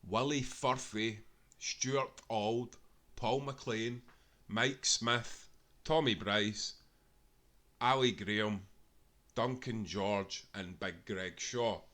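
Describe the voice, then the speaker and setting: A man's voice: fairly low pitch, drawn-out words, long pauses, sharp tone. A Scottish man reading aloud a football history tale, earnestly and with small self-corrections along the way. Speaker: narrator.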